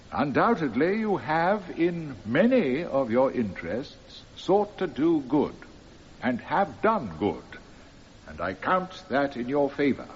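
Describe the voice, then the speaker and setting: Stern judge, radio drama: deliberate, authoritative, animated intonation, dull recording with faint hiss. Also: male voice, medium pitch. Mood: grave, conceding. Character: stern, measured male judge passing sentence.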